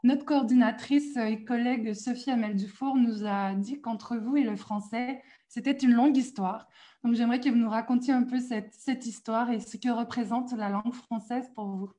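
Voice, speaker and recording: Mellow-voiced woman hosting a radio interview. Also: a little high, steady tone, moderate pace. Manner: friendly, with frequent fillers. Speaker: radio host.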